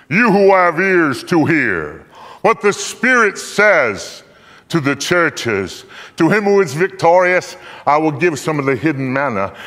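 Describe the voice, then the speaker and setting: A man's voice: on the high side, fairly bright tone. An older man giving a solemn, declamatory live recitation of scripture on stage, drawing out phrases for emphasis. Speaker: dramatic reader on stage. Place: theater stage, indoors. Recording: echoey hall with long reverb.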